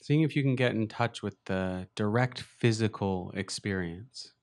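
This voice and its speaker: Man, low pitch, smooth clear tone, slow gentle meditation guidance, long pauses. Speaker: meditation teacher. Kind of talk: guided meditation.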